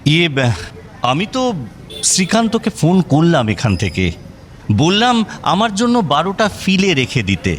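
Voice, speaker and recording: A man's voice: on the high side, huge pitch swings, a little slow, long pauses. A man playing a flustered, grumbling middle-aged father in an audio drama, hesitant and complaining. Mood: flustered excuse.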